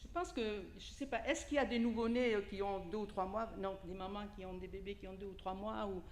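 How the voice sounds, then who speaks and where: Woman, native Italian speaker, lay preacher, informal sermon, mid-pitched voice, echoey church hall. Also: fairly even intonation, fairly quick. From Italy. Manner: conversational, addresses listeners directly with questions and asides. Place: church hall during a service.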